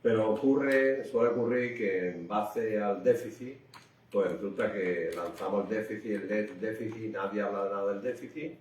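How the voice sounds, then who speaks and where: Male radio co-host, slightly low voice, halting conversational delivery, heavily reverberant room. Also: a little mellow, recorded from a distance. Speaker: radio co-host discussing current affairs. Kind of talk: unscripted conversational political discussion. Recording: very echoey room, off-mic, faint noise.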